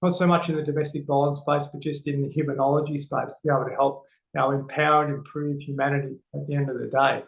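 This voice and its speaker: A man's voice: on the high side, little pitch movement, fast, creaky, reverberant, low-quality recording. A man with an Australian accent speaking earnestly as an interview guest, with many fillers and restarts. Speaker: guest, men's health educator. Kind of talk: casual interview talk.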